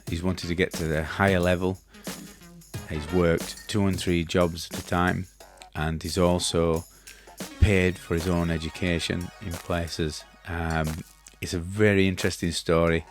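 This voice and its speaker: A man in his fifties hosting a casual podcast interview in a cluttered home studio, talking haltingly with many fillers and restarts. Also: low-pitched, level tone, soft background music. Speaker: podcast host. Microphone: studio mic with headphones.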